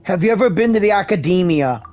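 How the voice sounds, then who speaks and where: A man's voice: high-pitched, expressive, fast talker, darkish tone. Informal male gamer over faint music.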